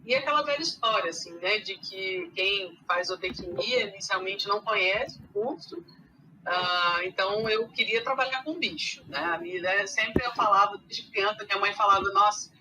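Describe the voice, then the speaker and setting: A woman from Rio de Janeiro with a mixed Brazilian accent, carioca with traces of Minas, chatting as a podcast guest with many pauses and fillers. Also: medium pitch, sharp tone, slight background noise, low-quality recording. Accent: mixed Brazilian Portuguese, carioca with Minas traces. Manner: hesitant, many fillers and restarts. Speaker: guest, animal science teacher. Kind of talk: casual podcast conversation.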